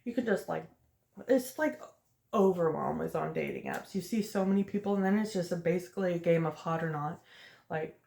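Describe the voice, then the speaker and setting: A woman's voice: lowish voice, brisk, vocal fry, dark tone, echoey room. A woman in her late twenties rambling casually to the camera while doing her makeup, chatty and laughing often. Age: late 20s. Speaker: vlogger. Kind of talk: casual rambling get-ready-with-me chat.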